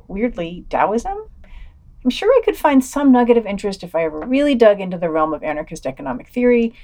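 Woman doing scripted podcast reviews, average pitch, kinda wry and sardonic. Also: a little mellow.

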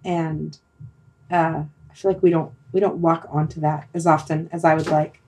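A woman's voice: low-pitched, flat delivery, normal speed, warm tone, a little hiss or hum, rough recording. A middle-aged woman hosting a casual, reflective conversation, hesitant and rambling as she thinks aloud. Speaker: podcast host leading a discussion.

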